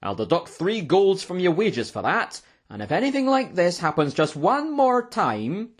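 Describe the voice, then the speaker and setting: A man voicing a bad-tempered innkeeper in a storybook, scolding gruffly with a snarl. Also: on the high side, sing-song, normal speed, voice pushed up. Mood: angry.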